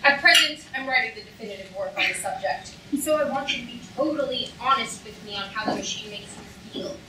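A woman playing a polite, sadistic torturer count, deliberate and clinical, in a staged reading of a comic play. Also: fairly high pitch, close to an everyday voice. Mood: clinically menacing. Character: courteous, sadistic torturer count, coolly scholarly. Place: auditorium stage, recorded from the audience. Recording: echoey hall, distant, noisy audience room.

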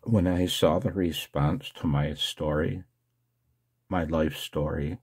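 An older man speaks in a very deep voice with heavy fry. He takes his time, talking slowly and haltingly, as in an intimate, emotional personal monologue to his viewers. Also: steady tone, darkish tone. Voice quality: gravelly, husky. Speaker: amateur radio video blogger. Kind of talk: personal screen-share vlog, casual monologue.

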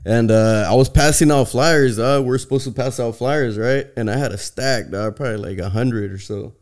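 A man in his thirties from Southern California, a comedian guest on a podcast, telling stories in relaxed street slang. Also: medium pitch, moderate pace. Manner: laid-back slangy storytelling, says "dog" constantly. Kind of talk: casual chat. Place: podcast studio with brick wall. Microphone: boom studio mic.

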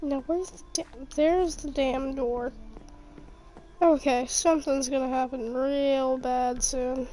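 Croaky high-voiced woman narrating her gameplay. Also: fairly even intonation, slow pace, dark tone, soft background music, rough recording. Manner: chatty, muttering to herself, mock-frustrated. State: croaky from being sick. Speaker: gaming youtuber. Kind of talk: casual gameplay commentary.

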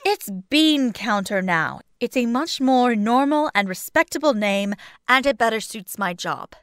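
A woman's voice: on the high side, expressive, unhurried, a bit bright, clean tone. A woman voicing a curt, haughty, irritable businesslike pony mare in a cartoon comic dub. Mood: irritated. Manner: clipped, impatient, haughty.

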